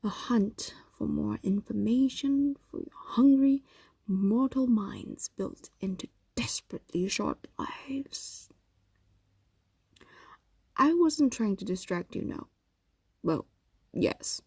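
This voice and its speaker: A woman voicing a possessive, evasive fox demon in an intimate audio roleplay, speaking softly and often dropping into whispers. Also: on the high side, animated, slow pace, creaky, pauses often, breathy edge, no room sound, full character voice. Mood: reluctant, resigned. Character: possessive, evasive female fox demon doting on captive human.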